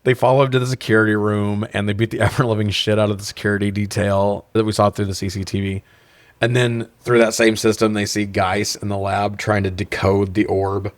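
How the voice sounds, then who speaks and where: A man's voice: fairly low pitch, level tone, fairly quick, no echo. A man co-hosting a podcast, casually recapping and riffing with incredulous, sweary humor. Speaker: podcast co-host. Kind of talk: casual comedic film recap and chat.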